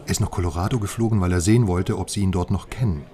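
An actor playing a wry, confiding informant, chatting familiarly in a German radio crime drama. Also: male voice, low-pitched, rapid-fire, mellow, close to an everyday voice. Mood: confiding, sardonic. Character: male informant, familiar and wryly amused.

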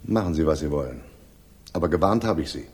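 An actor playing a self-assured, pious police inspector in a radio crime drama, measured and condescending in his authority. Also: a bass voice, animated. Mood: cool, warning. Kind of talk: 1960s German radio crime drama acting.

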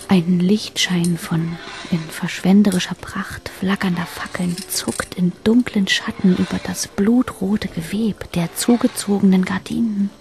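A woman narrating a literary radio play in a hushed, whispery, deliberate manner. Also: fairly low pitch, creaky.

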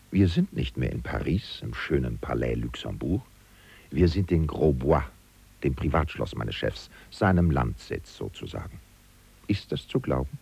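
A man playing a loyal, disillusioned political aide who muses and questions aloud to the listener in a German radio drama, with a gravelly voice. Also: darkish tone, exaggerated voice. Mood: resigned. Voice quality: gravelly, very deep. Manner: musing, chatty, rhetorical questions. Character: loyal, disillusioned male aide to a fallen politician, wryly indignant.

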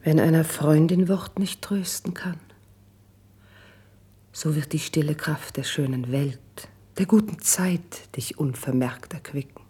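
An actress playing a cultivated, ambitious court lady and confidante in a classical verse radio play, with husky, breathy, measured and intimate declamation. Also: sits low, mellow, full character voice. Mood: soothing.

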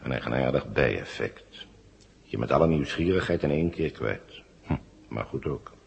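An actor playing a smug, condescending villain in command in a vintage Dutch radio play. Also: male voice, extremely low pitch, expressive, moderate pace, creaky, dull audio, slight background noise, full character voice. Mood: smug, probing. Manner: mock-friendly, condescending.

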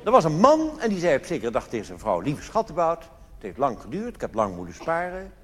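A man's voice: on the high side, huge pitch swings, a little fast. Theatrical male cabaret comedian in a reverberant hall. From Netherlands. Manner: theatrical, mock-solemn, rhetorical questions. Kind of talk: live stand-up cabaret monologue with anecdotes. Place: theatre stage in a large hall. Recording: reverberant hall live recording with noise.

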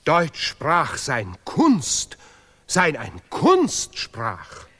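A man's voice: a little high, wildly swooping pitch, fairly bright tone, slightly rough, full character voice. A man playing a self-important laureate professor in a radio play, declaiming obsessively repeated broken German. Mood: grave, pompous. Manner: declamatory, obsessively repetitive, deliberately ungrammatical.

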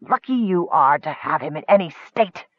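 A man's voice: on the high side, lively intonation, normal speed, no echo. A man voicing a bitter, greedy male goblin chief who sneers and hisses his demands. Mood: sneering. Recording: tinny phone-like dry sound.

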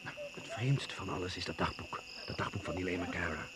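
Deep, guarded male secret agent played by an actor. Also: a little fast, natural voice. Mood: sceptical, puzzled. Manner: hesitant openings, terse and confiding briefing. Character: guarded male British secret service agent, sceptical and worldly. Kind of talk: Dutch radio drama acting. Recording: noisy old radio play recording.

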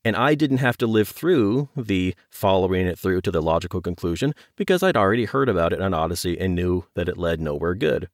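A man with a deep voice speaks as a guest in a casual interview. He talks in an anecdotal, conversational way, and the sound is dry with very little room in it. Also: animated, fairly quick, few pauses, dry recording. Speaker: podcast guest, audio drama producer.

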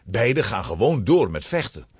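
A man narrating a scripted children's story with a husky voice. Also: on the low side, sing-song, moderate pace.

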